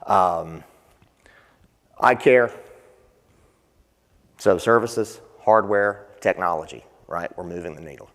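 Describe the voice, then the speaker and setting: Man, conference presenter, low-ish voice, questions audience, long hall reverb. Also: slow pace, long pauses, fairly bright tone. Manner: conversational, quizzes the audience with questions. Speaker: session presenter. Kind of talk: conference panel talk. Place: conference session room.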